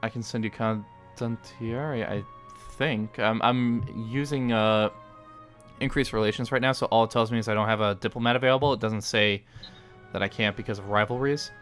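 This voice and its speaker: A man's voice: lowish voice, fairly even intonation, moderate pace, fairly bright tone. A man streams a strategy game and chats with other players in a hesitant, polite way, often restarting his sentences. Faint music plays behind him. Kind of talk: casual multiplayer voice chat.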